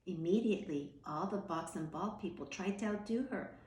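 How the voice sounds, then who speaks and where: A woman reading a children's story aloud expressively, with dramatic emphasis and some hushed phrases. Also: lowish voice, moderate pace, echoey room. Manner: animated, dramatic, dropping into hushed breathy phrases.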